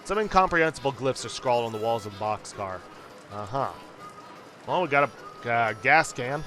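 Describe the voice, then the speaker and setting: A man's voice: medium pitch, animated, moderate pace, pushed and bright, soft background music. A man hosting a let's play, casually and wryly commenting and reading game text aloud.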